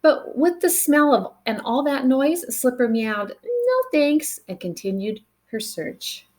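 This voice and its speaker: A woman reading a picture book aloud to children in an expressive way, with dramatic pauses and chatty asides to the listeners. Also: on the high side. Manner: animated, dramatic pauses, engages young listeners with questions.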